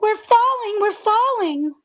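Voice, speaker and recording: A woman playing an anxious, earnest farm girl in a comedic radio play, speaking in breathless, halting, repeated phrases. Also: very high voice, animated, highs cut off, faint music behind. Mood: frightened, breathless.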